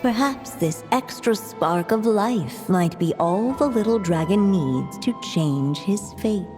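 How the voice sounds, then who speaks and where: A woman playing a measured, theatrical storyteller narrating a fairy tale in a video game. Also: medium pitch, expressive. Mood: coy, hopeful. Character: unhurried female storyteller of a solemn fairy tale. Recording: long reverberant hall sound. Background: loud music.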